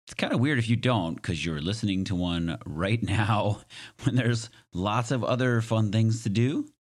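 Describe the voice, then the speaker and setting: Male solo podcaster, low-pitched and smoky with slight hoarseness; conversational, reflective, self-deprecating. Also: unhurried. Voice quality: raspy, smoky. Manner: self-deprecating, joking asides. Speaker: podcast host.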